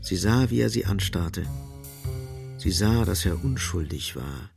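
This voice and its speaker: An actor playing the storyteller of a literary radio drama, narrating deliberately and expressively. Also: male voice, deep voice, lively intonation, gravelly, full character voice. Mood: observant. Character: omniscient male storyteller of a literary novel. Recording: faint music behind the voice.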